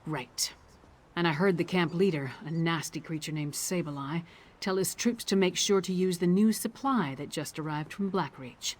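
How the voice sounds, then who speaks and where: A woman's voice: fairly low pitch, moderate pace, natural voice. Woman acting a bold, plain-spoken warrior. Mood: wry, businesslike. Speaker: game character. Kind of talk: scripted video game dialogue.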